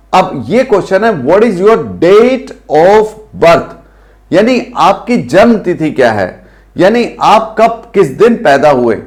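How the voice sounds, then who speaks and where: A man with an Indian accent teaching spoken English, saying English phrases slowly and urging viewers to repeat them. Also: high voice. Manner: slow drilled English phrases, coaxing the viewers.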